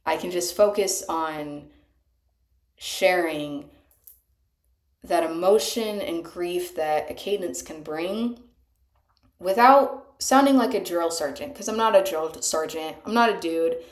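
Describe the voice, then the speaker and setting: A young woman speaking softly and earnestly, halting often, with sighs and small laughs, recorded on a cheap microphone. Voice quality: soft higher voice. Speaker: small channel singer. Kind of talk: casual confessional vlog. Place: bathroom. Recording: echoey room, cheap mic.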